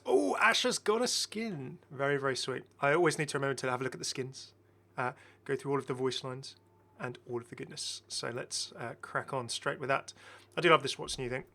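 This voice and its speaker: A man's voice: mid-range voice, creaky, bright, forceful tone. A man giving casual, enthusiastic game commentary as a chatty, rambling video host.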